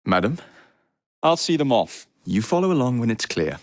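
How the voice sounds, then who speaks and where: A male actor plays a flippant young Victorian assassin with an English accent. His delivery is animated and sardonic, full of swagger for a video game. The sound is very dry and clean of room. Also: medium pitch, moderate pace, dry recording, close to an everyday voice. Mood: confident. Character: cocky, irreverent young Victorian London gang-leader assassin, man. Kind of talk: video game character voice lines.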